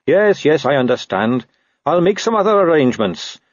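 A man's voice: fairly high pitch, big pitch swings, moderate pace, no echo, muffled recording, pitched above the performer's own voice. Man playing an earnest, slyly persuasive policeman. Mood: mild, coaxing. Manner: mild, reasonable, disingenuously innocent.

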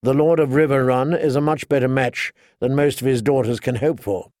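A man voicing a composed, sober noblewoman who speaks in a measured, formal, cautioning way in an audiobook. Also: mid-range voice, fairly even intonation, darkish tone, dry recording, clean studio sound, close to an everyday voice. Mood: reasoning, dry. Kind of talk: audiobook character dialogue.

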